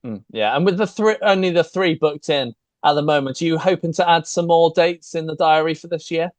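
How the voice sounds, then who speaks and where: A man's voice: a little high, fairly quick, dry recording. Male interviewer, dry close sound, short affirmations. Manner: short affirmations then steady questions. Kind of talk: interview questions.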